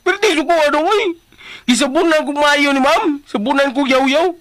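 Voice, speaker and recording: An actor in a Visayan Filipino radio drama playing an excitable, clumsy household helper in a whiny, exclaiming comic manner. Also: high voice, normal speed, dry recording. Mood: agitated, comic.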